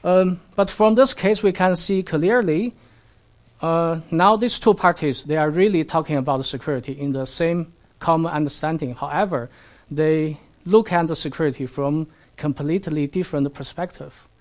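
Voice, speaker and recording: A man whose first language is Chinese, giving an academic lecture in halting English with many fillers and asking the audience questions. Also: a little high. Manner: halting non-native English with frequent fillers.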